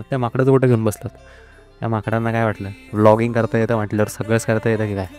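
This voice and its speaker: A man's voice: mid-range voice, a little mellow, natural voice. A man playing a cocky, sarcastic wolf who hosts a comedy podcast, mocking and hesitant, mixing in English words. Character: cocky, sarcastic male wolf podcast host, secretly cowardly. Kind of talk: scripted comedy podcast monologue.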